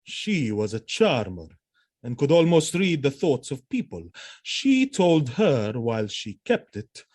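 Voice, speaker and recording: An actor playing a jealous, vengeful military commander in a Shakespearean audio drama, theatrical and brooding. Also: male voice, mid-range voice, big pitch swings, a little slow. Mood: brooding, suspicious. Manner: theatrical, brooding, erupting into shouts.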